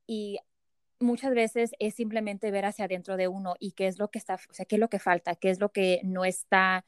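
A woman hosts a conversational interview with an eager, effusive manner, circling back to repeat her points. Her voice sits at a middle pitch and sounds dry and close to the mic. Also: flat delivery, normal speed, clean tone, dry recording. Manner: enthusiastic, effusive, repeats phrases. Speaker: podcast host.

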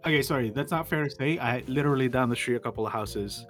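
A young man co-hosting a casual podcast chat, joking in an animated way. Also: medium pitch, quick pace, faint music behind. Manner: animated, joking, laughs often. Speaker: podcast co-host.

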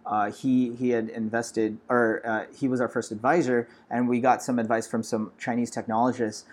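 An American man in his forties, a startup founder telling his story in a relaxed interview, full of "you know" and "uh". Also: mid-range voice, normal speed. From Los Angeles, USA.

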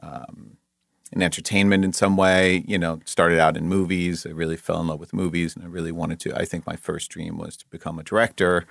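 A man's voice: low-pitched, flat delivery, fairly quick, gravelly, dry recording. A middle-aged man from Denmark, a network executive, chatting as a podcast guest in a laid-back way with dry humor. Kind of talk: casual interview conversation.

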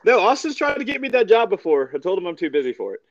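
A man co-hosting a wrestling podcast, chatting casually about sport in a halting way, repeating phrases as he searches for words. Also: high-pitched, big pitch swings, no room sound, lo-fi sound. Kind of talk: casual sports recap chat.

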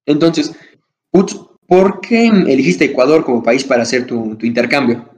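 A man's voice: mid-range voice, animated, moderate pace, a little mellow. A young man hosting a podcast interview, asking long rambling questions with fillers and restarts. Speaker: podcast host. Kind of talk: casual interview.

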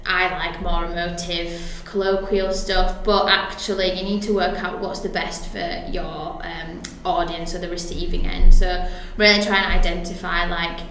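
A woman's voice: fairly low pitch, level tone, pushed and bright, slight background noise. A woman, a guest speaking about wording, talking in a chatty way full of fillers and restarts. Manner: chatty, lots of fillers and restarts, quick to laugh. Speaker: guest copywriter. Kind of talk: casual podcast interview. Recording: very echoey room.

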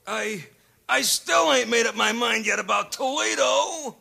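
Gruff American cynic for comic cop parody: high, gravelly, sharp, stubborn and slangy. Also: male voice, a little slow, exaggerated voice. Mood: moved, excited. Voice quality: raspy, gravelly. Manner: slangy, double negatives, stubborn. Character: gruff grudging male cynic who believes in nothing. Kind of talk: comic police drama parody.

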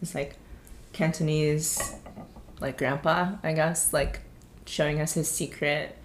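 A woman's voice: deep voice, fairly even intonation, slow, a little hiss or hum. A woman from China, a guest in a casual chat, who talks in a chatty, thoughtful way with frequent fillers.

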